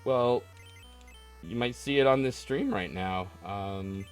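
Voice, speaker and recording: A man's voice: fairly low pitch, takes it slowly, soft background music. A man hosting a casual live stream, chatting with callers as he plays a game. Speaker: podcast and stream host. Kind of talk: casual live call-in chat while gaming.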